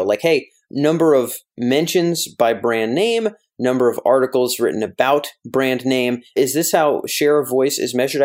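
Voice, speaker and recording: Male podcast interviewer, American, informal and wordy, thinks out loud, mid-pitched conversational delivery. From United States. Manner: wordy, informal, thinks aloud while paraphrasing. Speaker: podcast host interviewing a guest.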